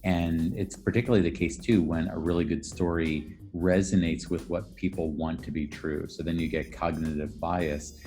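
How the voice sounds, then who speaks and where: Male history professor in interview: low register, articulate, reflective, explanatory. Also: mellow. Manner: articulate, reflective, rephrases as he goes. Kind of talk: thoughtful explanatory interview answers.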